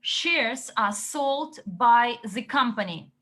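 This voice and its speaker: A woman interpreting a company update into English, relaying questions and answers in short, halting chunks. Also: a little high, animated, a bit bright.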